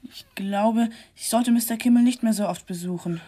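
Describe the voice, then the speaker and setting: Woman as a timid, mellow-voiced young lad. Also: fairly high pitch, moderate pace, close to an everyday voice. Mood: frightened. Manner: hesitant, deferential. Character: timid, nervous, naive young male witness. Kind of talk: German radio crime drama acting.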